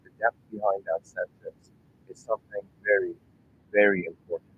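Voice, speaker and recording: A man in his thirties with a deep, low-pitched voice muses aloud in a searching, earnest way. He often restarts his sentences and clears his throat, and keeps checking that his listeners follow. A faint vehicle rumble hums behind him. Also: fairly even intonation, moderate pace, phone-quality sound. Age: 30s. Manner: rambling, searching, many fillers and restarts; earnest, addresses listeners directly. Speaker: channel host. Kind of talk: reflective philosophical monologue.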